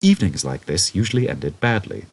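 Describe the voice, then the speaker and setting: A man reads a comic novel as a scripted audiobook. His voice sits low and is rough-edged, and his intonation swings widely as he tells the story. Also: big pitch swings, a little fast, a little mellow. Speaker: audiobook narrator.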